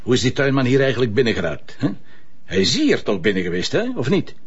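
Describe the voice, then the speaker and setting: An actor playing a police commissioner who asks probing, sceptical questions in a radio drama. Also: male voice, medium pitch, sing-song, fast, slightly rough. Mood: questioning. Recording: dull lo-fi radio play with faint noise.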